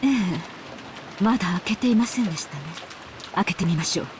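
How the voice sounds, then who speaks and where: Stern, quick-spoken lady of the house, played by an actress. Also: mid-range voice, lively intonation, noisy background, close to an everyday voice. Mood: grave, displeased. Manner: formal, commanding. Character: stern, dignified middle-aged lady of the house. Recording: heavy rain ambience behind the voice.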